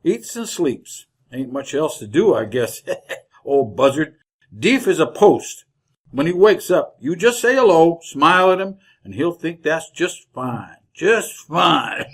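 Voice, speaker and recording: An actor playing a chatty, folksy older wagon driver with a rural Western American drawl in an old-time radio Western. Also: male voice, fairly high pitch, big pitch swings, takes it slowly, husky, heavily acted. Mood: amused, rambling. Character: garrulous leathery old frontier wagon driver, folksy and cheerful.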